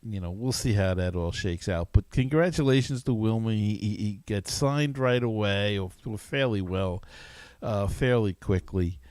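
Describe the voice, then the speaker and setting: A man hosting a sports podcast, talking casually in a halting, conversational way. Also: lowish voice, a little mellow, no echo. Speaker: podcast host.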